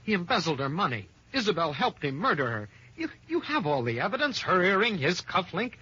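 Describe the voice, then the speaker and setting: Hot-headed schemer, sharp and raspy. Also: male voice, high voice, big pitch swings, brisk, full character voice. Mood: vengeful rage. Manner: agitated, forceful, melodramatic. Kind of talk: 1950s American radio crime drama acting. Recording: muffled, lo-fi old radio recording.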